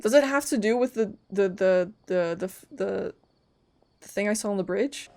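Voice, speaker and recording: A woman's voice: medium pitch, moderate pace, mellow. A woman streamer giving casual, playful reactions while playing a game, often laughing and blurting out comments. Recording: game audio in the background.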